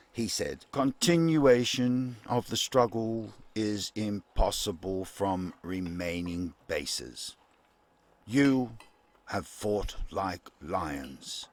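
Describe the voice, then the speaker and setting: A man playing a solemn 1940s radio newsreader, reading a scripted bulletin in a formal, measured, deliberate manner. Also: lowish voice. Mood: grave, declamatory.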